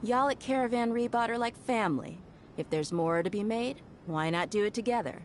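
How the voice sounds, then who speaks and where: A woman's voice: on the high side, animated, brisk, a little hiss or hum, close to an everyday voice. An actress voicing a secretive, persuasive woman in a video game, coaxing in a conspiratorial way. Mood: sly, persuasive. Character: secretive, persuasive woman striking a business deal.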